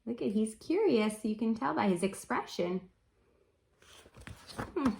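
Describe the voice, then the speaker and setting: A woman reading a story aloud for children in an expressive, coaxing way, pausing for chatty teacherly asides. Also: fairly high pitch, vocal fry. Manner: animated, coaxing, pausing to comment and wonder.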